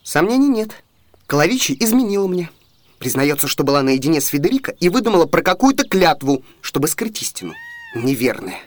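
A man's voice: on the high side, big pitch swings, a little fast, dry recording. An actor playing a bitter, jealous young man in a classic comedy radio play, with theatrical, declamatory delivery. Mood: brooding, vengeful. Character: jealous young male lover, bitter and wounded.

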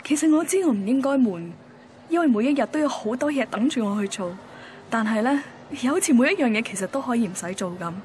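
A woman's voice: fairly high pitch, animated, fast talker, darkish tone, slight background noise, lightly acted. An actress playing a bored, wistful young woman from a radio drama, with a Hong Kong accent. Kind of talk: radio drama dialogue and narration.

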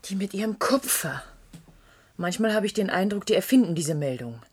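Husky, weary office woman. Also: medium pitch, expressive, moderate pace. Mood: pensive, bitter. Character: weary, doubting female office colleague on poisoned Earth. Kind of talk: German radio play acting.

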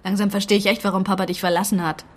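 An actress playing a sulky, defiant, easily tearful teenage daughter in a naturalistic radio crime drama, whiny and emotional. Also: female voice, medium pitch, flat delivery, rapid-fire, slight background noise, lightly acted. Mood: defiant, annoyed.

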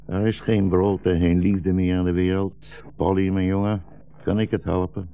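Deep, gravelly, weary old rabbi. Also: male voice, flat delivery, moderate pace. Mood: weary resignation. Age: older. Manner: solemn, brooding, declamatory. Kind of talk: 1960s Dutch radio drama acting. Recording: dull, muffled 1960s radio recording.

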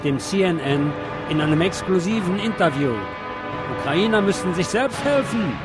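A man narrating a scripted news report as a reader, with loud music behind him. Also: high-pitched, animated, normal speed.

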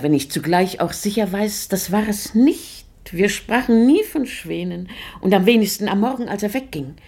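An actress playing a dreamy, pensive lady in a radio play, musing in a hushed, deliberate way. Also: mid-range voice, breathy edge. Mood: quietly elated, pensive. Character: dreamy, pensive lady confiding in her maid. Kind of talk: German radio play acting.

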